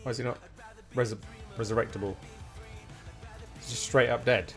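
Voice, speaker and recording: Man, mid-pitched voice, casual game stream commentary, questioning, thinking aloud, guitar music behind. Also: steady tone, normal speed. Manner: thinking aloud, questioning, reading menu items out. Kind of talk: casual live-stream commentary while playing.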